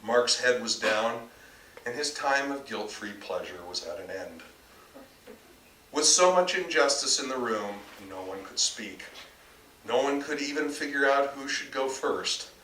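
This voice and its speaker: A man's voice: medium pitch, lively intonation, unhurried, pushed and bright. A man reading his own story aloud in a dramatic, emphatic storytelling manner. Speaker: author reading own work. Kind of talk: live literary reading, scripted narration. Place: bookstore reading event before an audience. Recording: distant mic, echoey room, faint noise.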